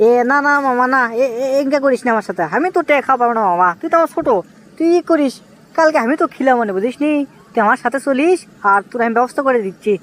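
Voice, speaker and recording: Man acting as young village schemer, very fast, high voice, Bogura accent, wheedling, exclamatory, comic cartoon. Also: expressive, fairly bright tone, rough recording. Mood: pleading. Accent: Bogura, northern Bangladesh. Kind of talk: comic cartoon dialogue in rural dialect.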